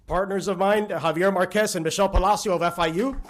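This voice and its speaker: High-voiced male ceremony emcee. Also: fairly even intonation, some chatter behind, a little hiss or hum. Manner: brisk name listing with filler "uh"s. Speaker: master of ceremonies.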